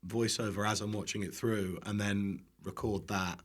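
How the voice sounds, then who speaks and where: A man's voice: lowish voice, steady tone, moderate pace, husky. A British man chatting casually as a podcast guest, informal, self-deprecating and happy to swear. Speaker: podcast guest, online cooking content creator. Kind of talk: casual chat.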